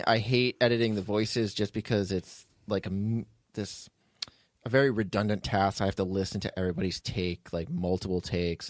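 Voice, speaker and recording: A man's voice: on the low side, dry recording, clean studio sound. A man hosting a podcast as its writer and producer, telling behind-the-scenes stories in a casual, rambling way.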